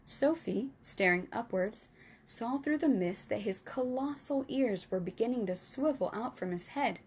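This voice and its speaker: Slow storytelling woman. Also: on the high side, darkish tone, husky. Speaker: storytime reader. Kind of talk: reading a children's book aloud.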